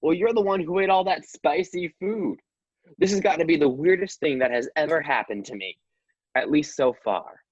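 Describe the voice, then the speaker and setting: A woman's voice: low-pitched, normal speed, a little mellow, husky, no room sound, muffled recording. A woman voicing a wisecracking, easily startled baby boy in a comedic radio play. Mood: wry. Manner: exclamatory, wry, repeats words.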